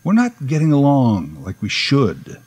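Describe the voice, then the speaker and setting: A man's voice: mid-range voice, huge pitch swings, a little slow, darkish tone, breathy edge, no echo. A middle-aged man, giving a sardonic, opinionated podcast monologue. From Canada. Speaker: podcast host.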